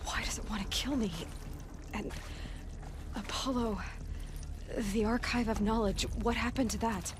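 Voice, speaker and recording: A woman's voice: fairly high pitch, fairly even intonation, a little slow, pauses often, noisy background, lightly acted. A woman playing a young, determined huntress in a video game, terse and questioning. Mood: puzzled.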